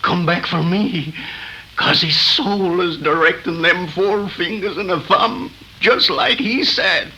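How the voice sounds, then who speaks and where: Forceful, slow-talking villain. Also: male voice, high voice, exaggerated voice, low-quality recording. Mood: terrified, pleading. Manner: theatrical, slow, intense. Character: menacing, ruthless gunman turned terrified, guilt-haunted wretch. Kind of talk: 1930s radio horror acting. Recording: old 1930s radio transcription recording.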